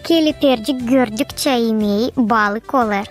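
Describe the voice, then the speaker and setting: A woman voicing an anxious, chatty musician in an animated cartoon. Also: on the high side, moderate pace, natural voice. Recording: music clearly behind the voice.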